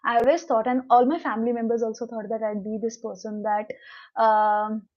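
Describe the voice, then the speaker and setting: Young indian woman, konkani native, indian english accent, rambling motivational livestream chat, slightly high voice, muffled lo-fi sound. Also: fairly even intonation, a little fast, a little mellow. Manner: chatty, rambling, reads out viewer comments. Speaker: livestream host. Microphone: new mic, livestream. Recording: dull lo-fi livestream audio.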